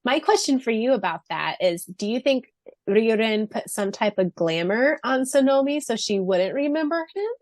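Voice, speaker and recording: A woman's voice: a little high, expressive, normal speed, no room sound, studio quality. A woman podcast co-host chatting casually about a book in an informal, jokey way, mimicking imagined lines. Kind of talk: casual book-discussion chat.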